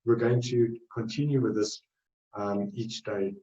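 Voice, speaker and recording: A man's voice: lowish voice, fairly even intonation, unhurried, warm tone, echoey room, distant mic, lo-fi sound. A man with a South African accent presenting a trading webinar, rambling loosely and correcting himself as he goes. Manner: loose, rambling delivery with frequent self-corrections.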